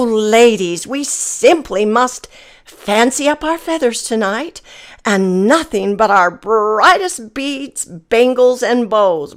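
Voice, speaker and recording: A woman voicing a group of vain, jealous female farm hens for a storybook, with high squawking chatter and clucking noises.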